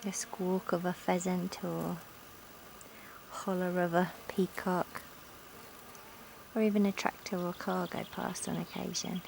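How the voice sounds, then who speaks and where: A woman leading a guided yoga nidra relaxation in a calm, gentle, soothing manner with long pauses, recorded outdoors in a garden. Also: fairly low pitch, vocal fry, poor audio. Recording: outdoor recording with insect and nature noise. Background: insects, sounds of a sunny garden.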